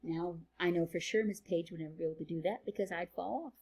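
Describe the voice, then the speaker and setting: A woman teacher reading a picture book aloud to children, playful and sing-song, with quieter chatty asides. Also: fairly low pitch, fast talker.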